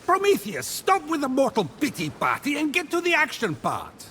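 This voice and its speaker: Petulant king of gods, high male voice, very lively pitch, vain, impatient, whiny, theatrical, comic game character. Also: moderate pace, faint music behind, heavily acted. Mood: bored, impatient. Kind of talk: comic video game acting.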